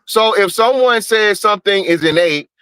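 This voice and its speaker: A man's voice: sits high, normal speed, pushed and bright, clean tone, no room sound, rough recording. A man on a livestream panel, arguing his point bluntly and insistently, swearing freely and repeating himself with emphasis. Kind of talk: heated debate on a livestream panel.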